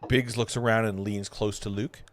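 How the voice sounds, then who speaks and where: A man's voice: fairly low pitch, steady tone, moderate pace. A man reading stage directions as narrator at an amateur table read of a film script. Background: music.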